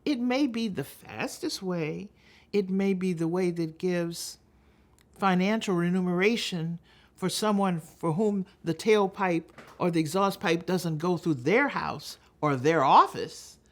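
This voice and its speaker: Older American female public speaker, slightly low register, measured and emphatic storyteller delivery. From Texas, United States. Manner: deliberate, emphatic, with dramatic pauses. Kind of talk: spoken advocacy talk with storytelling.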